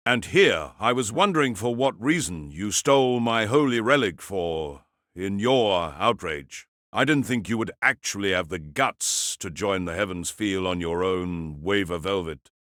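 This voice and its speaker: Man as a low, sneering academic villain. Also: animated, fairly bright tone, dry recording, studio quality. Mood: menacing. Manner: mocking, malicious, drawn-out. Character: resentful, sneering male lecturer and mage villain. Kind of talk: audio drama acting.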